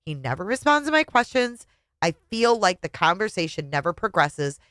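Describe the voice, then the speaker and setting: A woman voicing an exasperated wife in a story, pleading and high-strung as she begs to be understood. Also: sing-song, normal speed, fairly bright tone, no room sound, studio quality. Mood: upset, confused.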